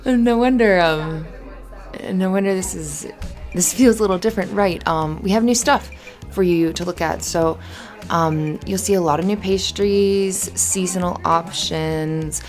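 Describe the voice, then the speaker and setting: A woman playing a flustered, friendly young café barista in an ASMR roleplay, chatty and halting in a natural conversational way. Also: lowish voice, faint music behind, natural voice. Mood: friendly, scatterbrained. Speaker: voice actor in audio roleplay.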